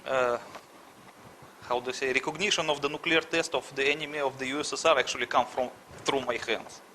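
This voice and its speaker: A middle-aged man from Russia with a Russian accent, answering interview questions haltingly and searching for words. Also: medium pitch, steady tone, bright, forceful tone, slight background noise, poor audio.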